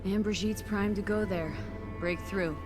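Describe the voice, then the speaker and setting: A woman's voice: medium pitch, fairly even intonation, measured pace, natural voice. An actress playing a tough, wary mercenary woman in a video game, talking in a blunt, clipped, streetwise way. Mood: probing. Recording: game audio with clear background music.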